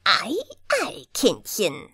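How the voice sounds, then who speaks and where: Listige alte Märchenhexe, stark schwankende Stimme. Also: female voice, fairly low pitch, sing-song, drawn-out words, bright, forceful tone, husky, no room sound, studio quality, voice pushed down, exaggerated voice. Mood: sly coaxing. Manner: cackling, murmuring, coaxing in whispers. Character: sly, greedy, spiteful old forest witch. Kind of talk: storybook character voice.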